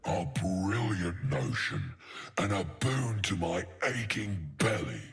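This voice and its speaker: An actor voicing a huge, gluttonous, greedy ogre mercenary in a video game, eloquent and pompous, savoring florid words. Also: male voice, deep voice, sing-song, slow pace, fairly bright tone, breathy edge, soft background music, full character voice. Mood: pleased, solemn. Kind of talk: video game character acting.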